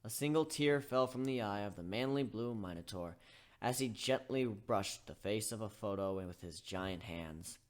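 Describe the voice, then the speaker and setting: A man's voice: fairly low pitch, animated, moderate pace. Man giving a dramatic story reading. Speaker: amateur narrator reading fan fiction.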